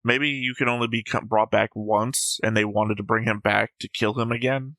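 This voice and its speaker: A man's voice: on the low side, fairly even intonation, moderate pace, pushed and bright, no room sound. A man hosting a casual discussion who methodically reads from his notes and is careful not to give anything away. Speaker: reaction show host.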